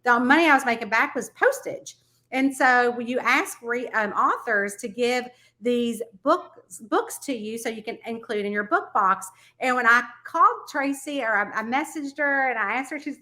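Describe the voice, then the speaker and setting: Bright-voiced bubbly Southern woman host. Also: high-pitched, animated, normal speed. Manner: bubbly, gushing, laughs often. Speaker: podcast host. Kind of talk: casual interview chat.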